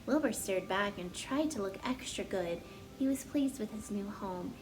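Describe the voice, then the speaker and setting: A woman storyteller reads a children's tale aloud with expression. Her voice sits at a medium pitch, with soft music behind it. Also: lively intonation, moderate pace.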